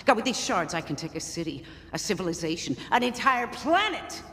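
A woman's voice: mid-range voice, very animated, brisk, a bit bright, a little hiss or hum. Cruel smug female villain boss, video game cutscene, dramatic swings, cavernous reverb. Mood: smug, gloating. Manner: theatrical, imperious, gloating.

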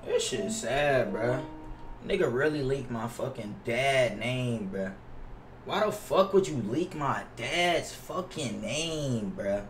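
A man's voice: medium pitch, expressive, slow pace, faint music behind. A young American man chatting casually to livestream viewers as a game streamer, slangy and profane, often repeating himself. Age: young adult. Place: indoor room at a gaming setup. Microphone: gaming headset mic. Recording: roomy, distant headset mic with noise.

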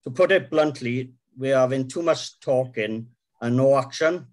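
A man's voice: medium pitch, measured pace. A middle-aged Welsh man with a Welsh accent, a farmer giving a plain-spoken, earnest talk from notes over a video call, with frequent ums. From Wales. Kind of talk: prepared talk read from notes. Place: home room with a window. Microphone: video call webcam.

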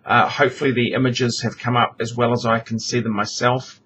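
A man's voice: mid-range voice, fairly quick. Man narrating a tutorial over noise. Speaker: knife maker presenting a tutorial. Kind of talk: casual instructional narration. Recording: steady background noise, homemade recording. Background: noticeable background noise.

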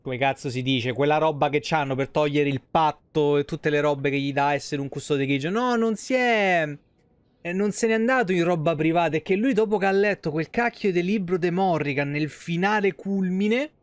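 High-pitched Roman man chatting on stream. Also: no echo. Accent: Roman Italian. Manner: colloquial, swearing, animated digressions. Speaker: game streamer. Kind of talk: casual livestream commentary, chatty. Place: small indoor streaming room.

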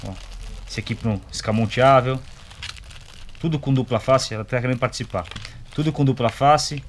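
A man's voice: medium pitch, normal speed, slight background noise. A young adult man with a Brazilian accent, giving a casual, colloquial, step-by-step product demonstration and checking in with short tags. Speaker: product reviewer. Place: motorcycle gear shop, indoors.

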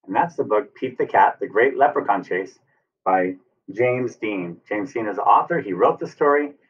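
A man's voice: fairly low pitch, lively intonation, moderate pace, slightly rough. An American man in his fifties reads a picture book to young children like a teacher. He explains things simply and puts questions to the listeners. The sound is a little distant and dull, as from a webcam. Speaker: teacher reading aloud. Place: room at home, indoors. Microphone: computer webcam at arm's length or more.

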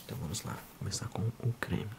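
A man in his thirties with a Brazilian accent, speaking softly and unhurriedly in an ASMR role-play as a physiotherapist addressing the listener. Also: noisy background. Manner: soft, unhurried, hushed.

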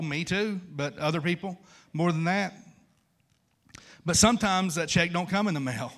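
A man, preaching an informal, conversational sermon and repeating phrases for emphasis. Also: medium pitch. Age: 50s. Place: large church stage at a wooden pulpit.

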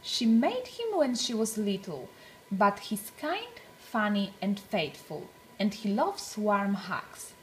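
A woman reading a storybook aloud for young children, with deliberate, expressive phrasing. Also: mid-range voice, slight background noise, poor audio.

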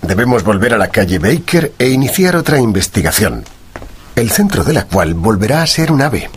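A sharp commanding gentleman detective, spanish radio play, castilian accent, animated intonation, slight noise. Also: on the low side, normal speed. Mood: politely confident. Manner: authoritative, precise, formal. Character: shrewd, commanding gentleman detective, composed and self-assured. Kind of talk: radio drama acting.

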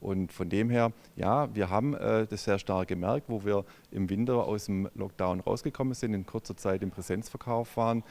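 Man, middle-aged, low gravelly voice, hesitant with fillers, handheld mic, big showroom. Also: darkish tone. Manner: halting, many fillers and restarts. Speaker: company executive. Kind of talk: on-camera interview. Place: large car showroom indoors. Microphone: handheld microphone.

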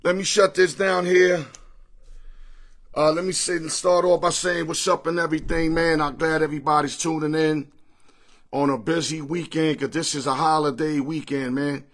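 Hoarse, high-voiced man hosting a livestream. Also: moderate pace. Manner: energetic, greets viewers by name, street slang. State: hoarse, losing his voice. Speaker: sports picks livestream host. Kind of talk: casual livestream chat. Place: home room full of sports memorabilia with a TV. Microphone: phone or webcam at arm's length.

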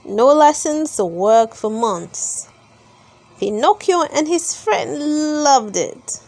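A woman narrates a children's story in a high-pitched voice. She takes her time, at a markedly slow pace. Her delivery is expressive and very lively in pitch, leaning on key words for drama. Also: no echo.

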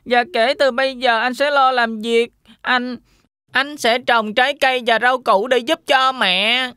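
A good-hearted young pig in a cartoon fairy tale. The speech is very slow. The tone is bright and crisp. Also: female voice, on the high side, fairly even intonation, no echo, studio quality. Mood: earnest. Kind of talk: animated fairy tale dub.

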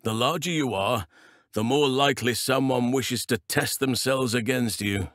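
An actor playing a gentle, solemn druid leader in a video game, speaking in a measured, formal, earnest manner. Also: male voice, mid-range voice, dry recording, clean studio sound, close to an everyday voice. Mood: thoughtful. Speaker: video game companion character. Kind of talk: video game voice acting, formal fantasy dialogue.

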